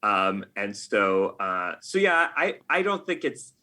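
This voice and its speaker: Middle-aged male interviewee, slightly low pitch, sharp bright tone, casual, halting delivery. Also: sing-song. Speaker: podcast guest, game writer. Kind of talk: casual interview talk, reflective anecdotes.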